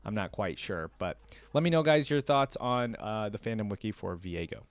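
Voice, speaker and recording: A man doing casual reaction commentary, reading aloud and thinking out loud in a conversational, curious way. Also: lowish voice, lively intonation, normal speed, no echo. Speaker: reaction video host.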